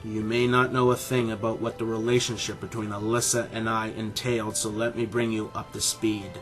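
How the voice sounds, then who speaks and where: Male actor as arrogant cartoon animal scientist, middle-aged, fairly low pitch, pompous deliberate delivery, audio drama, music underneath. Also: level tone, lightly acted. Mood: bitter. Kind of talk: scripted fan audio drama.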